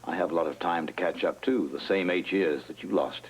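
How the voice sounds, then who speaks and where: An actor playing an enigmatic doctor who speaks slowly and softly in a measured way. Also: male voice, low voice, a little hiss or hum, lightly acted. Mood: calm. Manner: slow, measured, soft. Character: calm, enigmatic male doctor. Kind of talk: 1980s TV soap acting.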